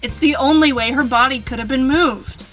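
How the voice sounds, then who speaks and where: Woman as assertive game detective, high bright voice, explaining evidence, music underneath. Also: normal speed. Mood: insistent. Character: confident detective arguing a case in a game. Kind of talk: dramatized reading of game dialogue. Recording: music behind the voice.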